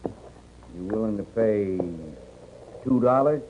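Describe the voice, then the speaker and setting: On a muffled, faintly noisy old radio recording, a hostile backwoods horse thief with a rural American accent. He is low-pitched, curt and gruff, challenging and distrustful in the manner of a 1950s radio Western. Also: slow. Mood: suspicious, grudging. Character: suspicious, hostile rustic horse thief guarding his land.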